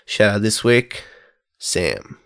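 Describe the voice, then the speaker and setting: A man's voice: fairly low pitch, sing-song, slow, gravelly, clear, resonant tone, no room sound, studio quality. A young Australian man co-hosting a casual podcast, bantering with friends in a blunt, sweary, explanatory way. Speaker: podcast co-host.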